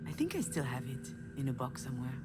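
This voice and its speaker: A woman's voice: very deep voice, sing-song, fast, over music. An actress voicing a reflective, wistful woman who recalls her childhood in a conversational way, in a visual novel game. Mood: fond. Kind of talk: visual novel voice acting, reminiscing narration.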